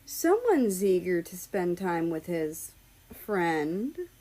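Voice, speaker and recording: A woman's voice: fairly low pitch, sing-song, slow, dark tone, heavily acted. A teasing young housemate from a storybook, acted by a woman reader. The delivery is sly and smirking, with pointed emphasis on chosen words.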